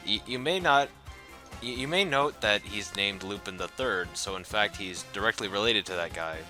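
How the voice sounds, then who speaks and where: A man speaking in a measured, slightly lecturing way on a casual podcast call. His voice is low. Music is clearly heard behind him. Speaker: co-host.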